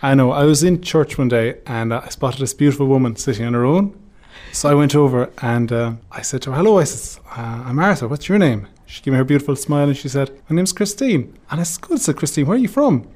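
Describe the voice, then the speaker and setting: A man's voice: medium pitch, quick pace. A man from Ireland with an Irish accent, casually and jokily telling a story as an interview guest. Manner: jokey, animated anecdote telling.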